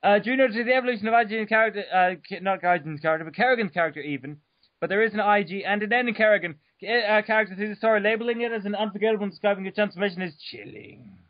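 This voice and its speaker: Man, high pitch, mock-dramatic reading, stumbles and jokes, dull lo-fi home recording. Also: rapid-fire, no room sound. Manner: over-the-top delivery with stumbles and joking asides. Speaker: reader. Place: room at home.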